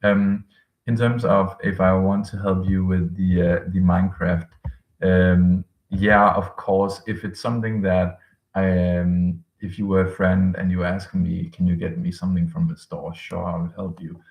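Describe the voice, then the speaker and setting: A man in his late twenties, a language coach chatting casually with viewers on a live stream, rambling with many pauses and fillers. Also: low voice, little pitch movement, creaky, reverberant, distant mic, dull audio. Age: 20s. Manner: rambling, thinks aloud with many ums, reads out chat messages.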